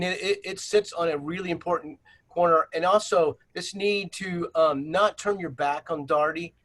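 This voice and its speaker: A man's voice: on the high side, fairly bright tone. A man leading a virtual planning workshop on a video call, presenting slides with many fillers and restarts.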